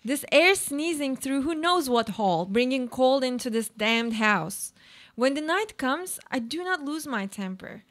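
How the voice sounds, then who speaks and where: An actress playing a brooding, cynical, uneasy married woman in a literary radio play, speaking in a deliberate, poetic way. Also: high-pitched, expressive, a bit bright. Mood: disdainful.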